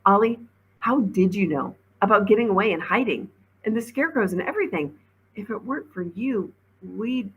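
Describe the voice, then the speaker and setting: A woman's voice: medium pitch, animated, normal speed, dull audio, voice pushed up, lightly acted. A woman voicing a practical, skeptical, impatient schoolboy in a storybook, blunt and questioning. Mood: curious.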